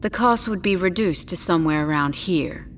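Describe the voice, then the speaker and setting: An actress playing a polite, clinical, tactfully condescending doctor, speaking in a measured, slightly hesitant way in an audio drama. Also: female voice, fairly low pitch, loud music behind the voice, close to an everyday voice. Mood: careful, tactful.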